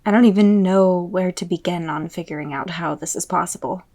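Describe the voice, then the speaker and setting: An actress playing a determined, loyal fortune-teller in an audio drama, speaking in a hushed, matter-of-fact, naturalistic way. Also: female voice, fairly low pitch, fairly quick. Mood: uneasy, curious. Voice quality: husky, breathy. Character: determined, loyal female fortune-teller joining a dangerous mission. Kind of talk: audio roleplay acting, naturalistic.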